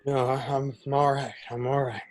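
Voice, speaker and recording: A man playing a weary, sarcastic smuggler in an amateur script read, gruff and sardonic. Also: mid-range voice, flat delivery, normal speed, lightly acted, rough recording. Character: Han Solo, weary, sarcastic male smuggler.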